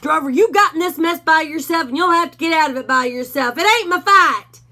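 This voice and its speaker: A cocky male cowdog, voiced by a woman reader, delivers dry, sly remarks with a drawl. His voice is high and bright. A storybook hero who thinks he is cleverer than everyone. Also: brisk, exaggerated voice. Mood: wry. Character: self-important male cowdog, wisecracking and sly.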